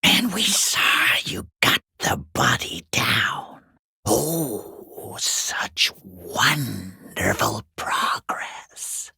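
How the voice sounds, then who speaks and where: A man voicing a foul-mouthed, sarcastic watcher in a video game, taunting in a rough, breathy, creaky half-whisper. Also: fairly low pitch, very animated, pushed and bright, no echo, full character voice. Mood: sarcastic disgust. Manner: sarcastic, taunting, drawn-out mockery. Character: sneering, foul-mouthed watcher peeking through a harbour gate gap. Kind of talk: video game character voice acting.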